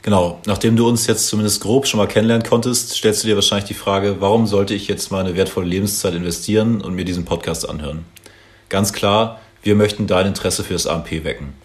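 A young man in his twenties speaks with a very low voice as he co-hosts a podcast. He is relaxed and friendly, with casual filler words. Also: fast talker. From Hamburg, Germany. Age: 20s. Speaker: podcast co-host.

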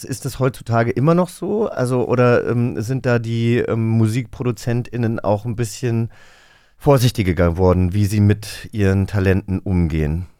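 A man's voice: medium pitch, darkish tone, dry recording, clean studio sound. A man with speech hosting a casual interview, conversational and feeling his way through questions. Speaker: radio magazine host, interviewer.

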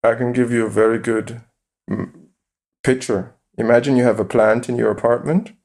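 A man as a podcast guest, calmly explaining his view with examples and rhetorical questions. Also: mid-range voice, moderate pace. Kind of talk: interview conversation.

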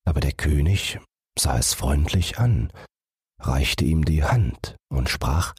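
A man reads a bedtime fairy tale in a very deep, husky voice. He goes slowly with expressive, varied intonation. The recording is close and dry. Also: no room sound. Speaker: audiobook narrator.

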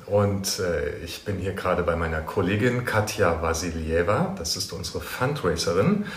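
A man's voice: deep voice, expressive, moderate pace, faint music behind. A man hosting a casual interview, informal and tentative as he searches for his words. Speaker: interviewer and host. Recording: roomy echo, audible background noise.

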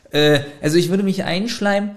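A man's voice: high voice, unhurried. A man co-hosting a podcast in casual chat, keeps asking his partner questions and reads plot summaries aloud. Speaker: podcast co-host.

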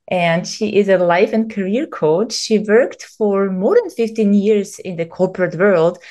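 A woman's voice: medium pitch, a little mellow. A woman podcast host reading an upbeat scripted intro and then asking her guest questions. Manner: upbeat, read-aloud delivery.

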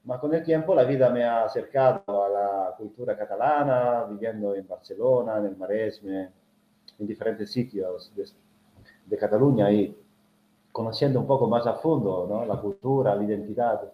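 A man's voice: on the low side, moderate pace. A middle-aged man with an Italian accent, a native Italian speaker, telling a personal family story as an interview guest, thoughtful and full of hesitant filler sounds. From Italy. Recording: echoey, muffled lo-fi recording.

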